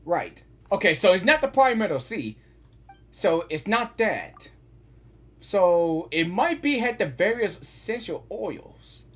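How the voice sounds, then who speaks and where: A woman giving casual live gaming reactions, excitable and repeating herself as she thinks aloud. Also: medium pitch, takes it slowly, husky.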